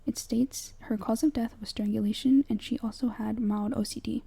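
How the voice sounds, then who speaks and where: A young woman narrates in a hushed, breathy whisper with a very mellow, muted tone. The voice is husky and lightly crackles as she delivers a scripted, measured horror breakdown, much like ASMR storytelling. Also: fairly high pitch, fairly even intonation.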